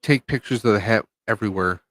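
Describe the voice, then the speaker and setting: A man with a stuffed-up nose, guesting on a podcast from home and talking in a halting, casual way with many fillers and restarts. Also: lowish voice, fairly bright tone, no echo, studio quality. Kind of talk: casual chat.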